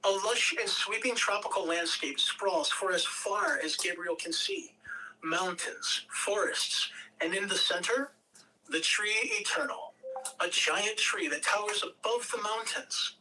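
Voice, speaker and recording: Bright, slow-paced dramatic script reader. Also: medium pitch. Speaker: script reader at a table read. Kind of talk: expressive amateur reading of screenplay directions. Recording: distant home mic, background noise.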